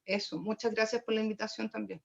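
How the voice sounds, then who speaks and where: A woman's voice: fairly high pitch, level tone, quick pace, creaky, dull audio. A Chilean woman speaking as a panelist, earnest and passionate. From Los Lagos region, Chile. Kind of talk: panel discussion.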